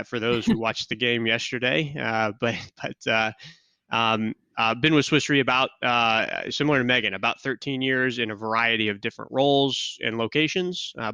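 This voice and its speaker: A man from Kansas City speaking as a podcast guest, joking and relaxed, with many fillers. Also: mid-range voice, smooth, no echo. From Kansas City, USA. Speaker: guest, insurance risk specialist. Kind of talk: podcast interview.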